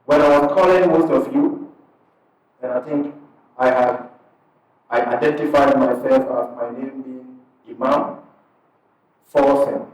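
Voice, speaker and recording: A man from Ghana with a Ghanaian accent, an imam speaking to a gathered audience in a conversational, unhurried way, breaking his sentences into short pieces. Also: mid-range voice, fairly even intonation, a little mellow. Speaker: imam and parish missionary. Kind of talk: addressing an audience at a community event. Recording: very echoey hall, speaker far from the mic.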